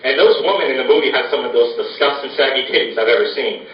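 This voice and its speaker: A man's voice: mid-range voice, fast talker. A man doing a crude stand-up set, chuckling through his lines on a club stage. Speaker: stand-up comedian. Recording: lo-fi, distant, echoey club with crowd.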